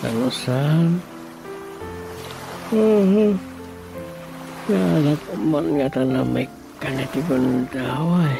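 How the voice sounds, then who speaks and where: An actor with an Ilocano Filipino accent playing an excitable, suspicious, grumbling village man in a radio drama comedy. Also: on the high side, slow, creaky, long pauses, music in the background.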